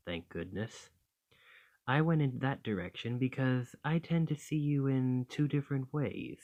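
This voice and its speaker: Man playing a slow-talking, bashful, doting dragon. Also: mid-range voice, a little mellow. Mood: tender, smitten. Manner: flustered, hesitant, tender, with sighs and stammers. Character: gentle, bashful male dragon, doting romantic partner. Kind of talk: ASMR roleplay.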